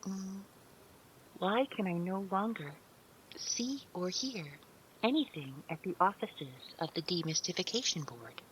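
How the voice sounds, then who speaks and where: Woman playing a slow, lilting, naive servant spirit. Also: fairly low pitch, animated, slight background noise, low-quality recording. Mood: uneasy, careful. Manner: stilted formal phrasing, hesitant hums, careful and deferential. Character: meek, naive, literal-minded female otherworldly information servant. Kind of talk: scripted audio drama roleplay.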